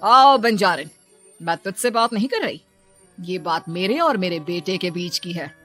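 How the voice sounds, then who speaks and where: A woman's voice: fairly low pitch, lively intonation, unhurried, a bit bright, soft background music. A woman playing a cruel, scheming middle-aged stepmother in a moral story cartoon, with an imperious way of talking. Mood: threatening. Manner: scolding, threatening, imperious.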